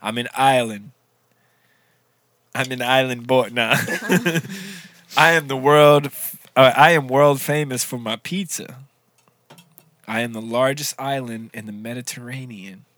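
A man reads out quiz clues in a relaxed party game, his voice bright and forceful. The sound is dry and close. He chuckles easily and keeps things loose. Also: medium pitch, slow, pauses often, no echo. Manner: reads trivia clues aloud, laughing often. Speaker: quiz reader in a drinking game. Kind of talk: casual game chat.

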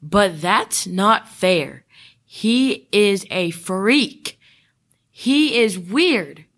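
Woman as a slow-talking sneering schoolboy bully. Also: mid-range voice, animated, fairly bright tone, full character voice. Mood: defiant. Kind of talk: amateur school radio theater.

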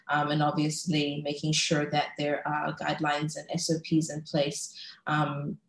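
A woman's voice: deep voice, echoey room, poor audio. A pregnant woman in her thirties, from Malaysia and raised in New Zealand, giving a chatty talk as a guest speaker. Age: 30s. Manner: chatty, many ums and restarts. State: heavily pregnant. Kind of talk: casual talk on a video call.

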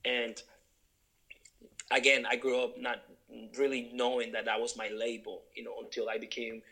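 A man's voice: medium pitch, fairly bright tone, poor audio. Earnest young man from Honduras. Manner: earnest, searching, many restarts and fillers. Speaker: guest. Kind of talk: reflective interview conversation.

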